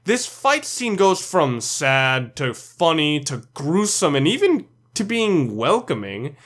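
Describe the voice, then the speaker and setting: Guy doing scripted top list commentary, voice a bit high, blunt and full of opinions. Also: sing-song, takes it slowly, a bit bright. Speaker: narrator of a video game ranking list.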